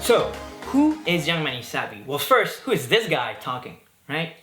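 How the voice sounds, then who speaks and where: A man's voice: on the high side, big pitch swings, moderate pace, reverberant, over music. A man from Belgium in his thirties, hosting a casual talk to camera in an upbeat, chatty way. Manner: upbeat and chatty, with fillers and jokes. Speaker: host.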